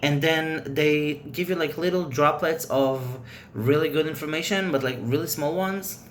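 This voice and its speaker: A man's voice: on the high side, moderate pace, fairly bright tone, a little hiss or hum. Rambling native Hebrew speaker, man. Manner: rambling, loose, frank opinions. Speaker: vlogger. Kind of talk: casual chat to camera.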